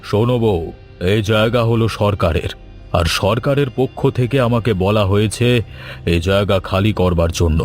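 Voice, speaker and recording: A man's voice: on the low side, moderate pace, faint music behind, close to an everyday voice. A man voicing a pompous, threatening village landlord in a cartoon folk tale. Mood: stern, threatening. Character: stern, domineering village landlord, scheming villain.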